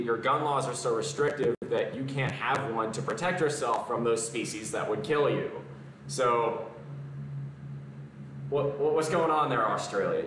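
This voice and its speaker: A man's voice: medium pitch, noisy background. A young man chatting casually on a live stream from his home gym, answering comments between exercises. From American South. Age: young adult. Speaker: fitness streamer. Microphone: camera mic at a distance. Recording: roomy garage echo, distant mic.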